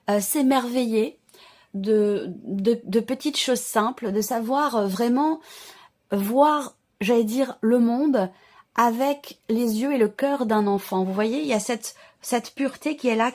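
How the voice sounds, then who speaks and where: Woman, fairly high pitch, tarot reader, informal explanation to viewers, hesitant searching phrasing, reassuring. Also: a little mellow. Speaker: tarot reader and channel host.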